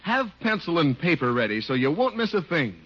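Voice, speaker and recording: A man working as a 1950s radio announcer and sponsor pitchman. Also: big pitch swings, a little fast, slightly rough. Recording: muffled old radio broadcast.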